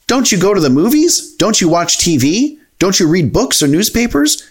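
A man voicing a cocky, excitable, indignant cartoon duck in a children's storybook, brash and challenging. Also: sits high, normal speed, slightly rough, pitched above the performer's own voice, heavily acted.

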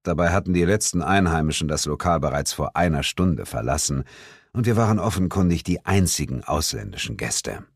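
A man's voice: rumbling low, animated, brisk, creaky, breathy edge, no room sound, clean studio sound. A man narrating a horror audiobook in the first person with a dramatic, scripted delivery.

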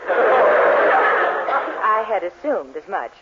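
An actress playing a prim, exasperated marriage counselor in a 1940s radio sitcom, formal and stubborn. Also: female voice, mid-range voice, big pitch swings, drawn-out words. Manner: crisp formality, barely contained exasperation. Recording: muffled 1940s radio, faint noise.

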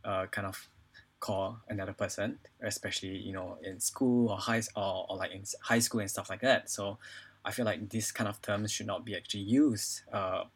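A man's voice: lowish voice, steady tone, moderate pace, breathy edge. A man in his twenties with a Singaporean accent, talking casually as a podcast guest in a hesitant, filler-heavy way. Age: 20s. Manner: hesitant phrasing with frequent "you know" and "like". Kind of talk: casual personal conversation.

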